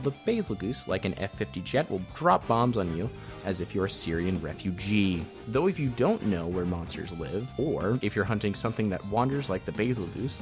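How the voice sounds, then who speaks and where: A man reading a scripted, comedic video game overview in a sarcastic, profane, irreverent way. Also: on the low side. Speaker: video creator and narrator. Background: instrumental music.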